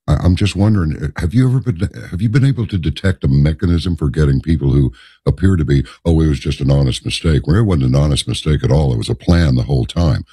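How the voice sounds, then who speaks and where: A man's voice: a bass voice, fast talker, mellow, breathy edge, no echo, clean studio sound. A man hosting a political talk show who asks rambling, indignant questions and restarts his sentences. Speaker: host.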